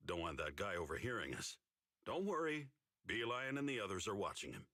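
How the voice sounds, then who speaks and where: An actor voicing a laid-back master figure in a game, calmly explaining what happened. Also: male voice, fairly low pitch, a little fast, no echo, studio quality, heavily acted. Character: easygoing older male master, laid-back.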